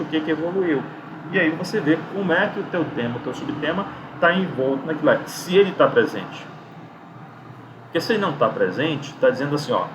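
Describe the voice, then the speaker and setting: A man's voice: medium pitch, noisy background. A man giving an informal video lesson, talkative and didactic, asking himself rhetorical questions. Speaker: lecturer giving academic writing advice.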